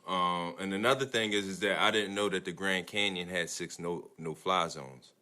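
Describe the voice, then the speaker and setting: Slangy man with a bright, lower voice. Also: normal speed. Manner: rambling, slangy, swears freely. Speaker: podcast co-host. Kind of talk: casual podcast chat.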